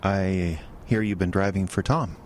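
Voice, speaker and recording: A man's voice: deep voice, slight background noise. A man playing a nervous, spooked truck driver in an audio drama, hesitant and stammering as he talks. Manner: halting, stammering, uneasy.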